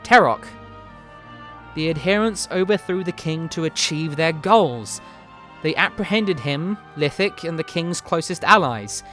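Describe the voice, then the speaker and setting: A man reading a fantasy story aloud as narrator, with dramatic, deliberate phrasing. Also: on the high side, expressive, pushed and bright. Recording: music behind the voice. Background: background music.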